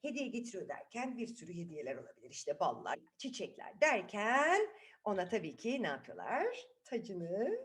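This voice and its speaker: Bir kadın, çocuklara sorular sorarak, sözleri tekrarlayarak dramatik bir dille doğaçlama masal anlatıyor. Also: female voice, medium pitch, sing-song, moderate pace. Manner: dramatic, repeats words, asks listeners questions. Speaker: children's storyteller. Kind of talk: improvised, animated storytelling to children.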